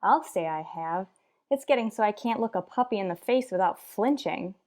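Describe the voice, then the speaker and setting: A woman playing a wry, sardonic high school English teacher in an old-time radio sitcom, delivering dry wisecracks. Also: animated, normal speed, darkish tone, natural voice. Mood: wry narration.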